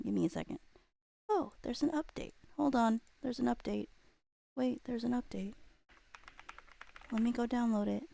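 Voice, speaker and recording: Woman, livestream host, creaky fry-laden voice, casual, scattered, playful, thinking aloud, dry sound. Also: mid-range voice, darkish tone, dry recording. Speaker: live streamer host.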